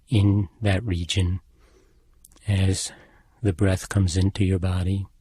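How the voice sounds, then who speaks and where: A man guiding a meditation in a calm, soothing, unhurried manner. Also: low voice, slightly rough, no room sound. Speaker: meditation guide. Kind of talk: guided body scan meditation narration.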